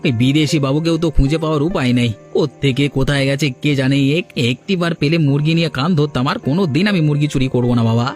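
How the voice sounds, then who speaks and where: A man's voice: on the high side, fast talker, few pauses, a little mellow, soft background music, close to an everyday voice. A man voicing a sly, hapless village thief in a folk-tale cartoon, wheedling and grumbling. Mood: worried, complaining. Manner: wheedling, grumbling, repeats words.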